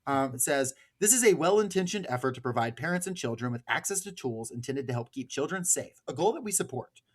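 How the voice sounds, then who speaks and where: A man's voice: mid-range voice, lively intonation, brisk, slightly rough. Blunt, sweary man on a podcast. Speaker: podcast host. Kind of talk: casual political discussion.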